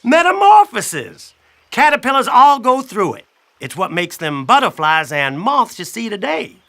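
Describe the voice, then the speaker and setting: Man playing a lively, bright-voiced storybook blackbird. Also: high-pitched, moderate pace, full character voice. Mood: friendly, enthusiastic. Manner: folksy, expressive, addresses listener directly. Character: friendly, wry male blackbird storyteller, chatty mentor. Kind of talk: animated children's audiobook storytelling.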